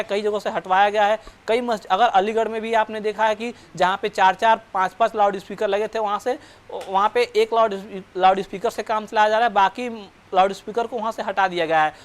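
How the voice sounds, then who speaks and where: A man reporting news live and explaining unscripted, in a halting way, often repeating and correcting his words. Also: high-pitched, steady tone.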